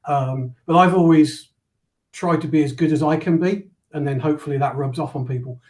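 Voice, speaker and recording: A man's voice: a little high, a little fast, darkish tone. A man answering interview questions as a company founder, modest and hesitant, often repeating words as he thinks. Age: 50s.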